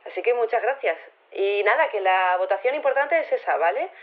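An actress playing an earnest, slightly flustered university activist with a Spain accent, leaving an informal voicemail in an audio drama. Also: female voice, medium pitch, expressive, fairly quick. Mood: earnest, awkward. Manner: hesitant, informal, self-conscious, pleading. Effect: phone filter. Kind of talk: casual voicemail in audio fiction. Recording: narrow tinny phone line.

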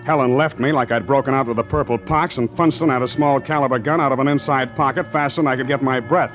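An actor playing a tough, wry ship's master who narrates his adventure in deadpan 1940s radio noir style. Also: mid-range voice, quick pace, natural voice. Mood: wry narration. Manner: deadpan, wisecracking. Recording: muffled 1940s radio recording with music.

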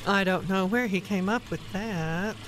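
A woman's voice: mid-range voice, unhurried, a little hiss or hum, close to an everyday voice, rough recording. A woman playing a bossy, teasing older grandmother in a radio play, dryly exasperated with her husband and scolding in a no-nonsense way. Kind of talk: small-town radio play acting.

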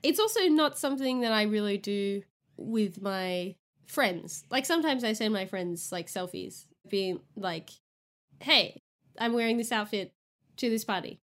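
Woman with a soft aussie accent, giggly and hesitant, lots of "like"s, casual podcast chat. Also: mid-range voice, expressive, unhurried. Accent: mild Australian. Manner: giggly, hesitant, many fillers. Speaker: podcast co-host.

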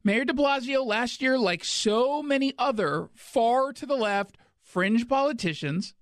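A man's voice: very high voice, lively intonation, measured pace, dry recording, clean studio sound. A man hosting a talk radio show, delivering an indignant, sarcastic monologue.

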